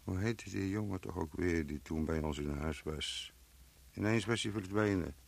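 An actor playing an earthy gravedigger in a radio play, muttering and musing to himself about the dead. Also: male voice, low voice, normal speed, creaky. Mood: wondering, brooding.

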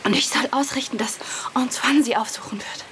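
A woman's voice: on the high side, normal speed, a bit bright, whispered. An actress playing a young, eager and trusting female secret agent, earnest and polite, in a German radio drama. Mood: breathless, earnest. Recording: noisy ambient background.

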